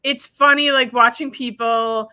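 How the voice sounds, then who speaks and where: A woman from Wisconsin, a guest telling stories in a lively, animated way. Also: a little high, measured pace. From Wisconsin, USA. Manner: animated storyteller who acts out other people's lines. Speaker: guest, spiritual practitioner. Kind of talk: casual conversation.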